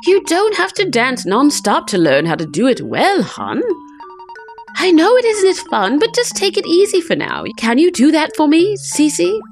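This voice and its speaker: A woman voicing a gentle, reassuring female mermaid mentor in a visual novel, soothing and coaxing. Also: high-pitched, wildly swooping pitch, normal speed, faint music behind, voice pushed up, heavily acted. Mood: gently coaxing.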